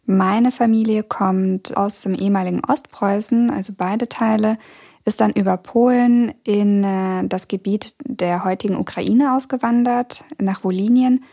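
A woman in her thirties from Kazakhstan, co-hosting a podcast and putting friendly, lively questions to her co-host. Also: mid-range voice, a little slow. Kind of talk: podcast conversation, asking questions.

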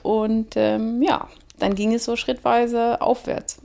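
A woman who owns a restaurant, telling its history in a relaxed podcast conversation, rambling with many restarts. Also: on the high side, slow, creaky. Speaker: restaurant owner.

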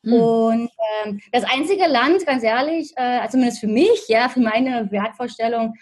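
A woman in her thirties from Berlin, a guest telling her story in a relaxed, chatty way, with many fillers and restarts and frequent laughs. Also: on the high side, unhurried, slightly rough. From Berlin, Germany. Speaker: interview guest. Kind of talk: casual conversational storytelling. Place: in Australia.

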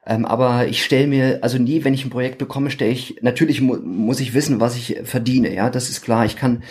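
A man in his forties with a Thuringian German accent, a podcast guest chatting casually and haltingly with many fillers and restarts. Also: mid-range voice, steady tone, a little mellow. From eastern Germany. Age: 40s. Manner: hesitant, many ums and restarts. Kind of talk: casual interview chat.

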